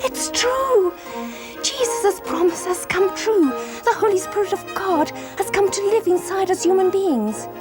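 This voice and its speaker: A woman acts out an amazed bystander in a children's Bible story. The voice is high, breathy and full of awe, over loud music. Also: lively intonation, a little slow. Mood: joyful amazement. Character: awestruck onlooker in a Bible story.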